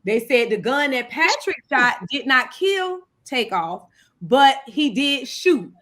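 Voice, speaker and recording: A woman's voice: sits high, big pitch swings, slow pace, a bit bright. A woman panelist talking casually and explaining things in street slang with some swearing. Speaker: talk show panelist.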